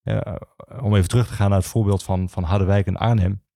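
A man speaking as a history expert guest in a podcast conversation, explaining things with hesitant, pausing phrasing. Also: on the low side, steady tone, gravelly, warm tone, dry recording, clean studio sound.

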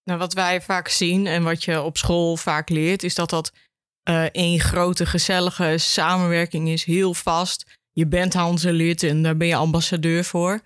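Female educator for podcast discussion: fairly flat intonation, plain-spoken, close and dry. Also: lowish voice, measured pace, no room sound. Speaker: history educator guest. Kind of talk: explanatory podcast conversation.

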